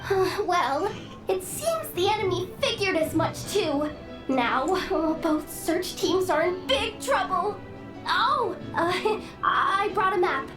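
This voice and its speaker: A very high, stammering young scout. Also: female voice, lively intonation, takes it slowly, heavily acted. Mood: nervous. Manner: hesitant, full of "uh"s and stammers. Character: nervous, eager young scout and messenger. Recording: game audio with reverb over music.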